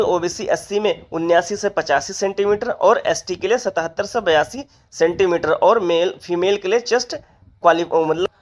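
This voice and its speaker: A presenter giving an informational explainer, methodically reading out details and figures. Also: medium pitch. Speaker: exam update presenter.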